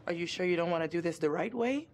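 Frank, guarded boat skipper. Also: female voice, on the low side, expressive, fast. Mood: reluctant. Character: wary, practical young woman boat skipper. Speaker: boat captain and dive guide. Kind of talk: video game cutscene acting.

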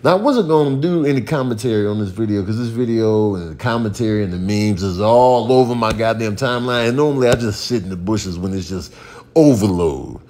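A man's voice: fairly low pitch, fairly quick, mellow. A man with an American accent doing comic voiceover commentary in a theatrical, exasperated way full of inventive slang.

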